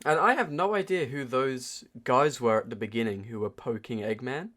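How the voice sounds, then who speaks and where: A man chatting casually as a podcast co-host reviewing films, excitable and free with swearing like a keen fan. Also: mid-range voice, moderate pace. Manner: profane, excitable fan talk. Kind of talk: casual chat.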